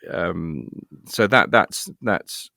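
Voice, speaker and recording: A man's voice: sits low, expressive, very slow, creaky, bright, forceful tone, no room sound, studio quality. A middle-aged British man chatting casually as an interview guest, self-deprecating and thinking aloud as he rambles. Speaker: guest, actor and writer.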